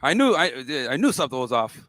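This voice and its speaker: A man spars with students in a slightly higher-than-usual voice, blunt and mocking. He answers fast and brushes off challenges with sarcasm. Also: very animated, fairly bright tone, a little hiss or hum. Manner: blunt and mocking, quick comebacks. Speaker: campus debater. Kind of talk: debate with students.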